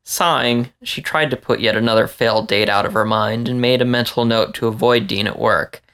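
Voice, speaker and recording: A man with a creaky voice reading a story aloud as scripted narration. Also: mid-range voice, fairly even intonation, moderate pace, a bit bright. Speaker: story reader.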